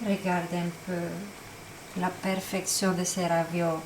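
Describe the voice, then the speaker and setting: A woman's voice: lowish voice, level tone, takes it slowly, noisy background. A woman in her home kitchen making a cooking vlog, with a playful, self-mocking way of talking. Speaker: cooking vlogger. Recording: echoey room with background music. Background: background music.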